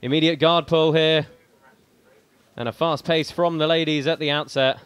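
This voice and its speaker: A man's voice: on the high side, fairly even intonation, normal speed, fairly bright tone. A man interviewing competitors and calling live commentary, speaking like an announcer projecting to a crowd. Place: competition arena. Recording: echoey hall with crowd and noise.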